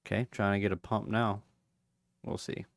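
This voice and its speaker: A tired man with a fairly low, gravelly voice, winding down a livestream in a loose, rambling way full of ums. His voice sounds a little hoarse and he yawns through it. The sound is dry and close. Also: level tone, no room sound. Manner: rambling, halting, many fillers. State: tired, yawning; voice going hoarse. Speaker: crypto livestream host. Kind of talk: casual livestream sign-off chat.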